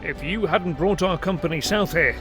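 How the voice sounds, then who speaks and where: A man voicing a gruff, decisive cavalry captain in a fantasy audiobook, urgent and commanding. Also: a little high, normal speed, music in the background, pitched above the performer's own voice, natural voice. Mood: grave. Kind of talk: dramatised fantasy audiobook character.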